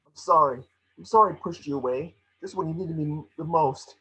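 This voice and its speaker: A man playing a friendly detective partner and father in a scripted table reading, with a casual, familiar manner and a husky voice. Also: a little high, brisk, bright, forceful tone, poor audio. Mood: apologetic.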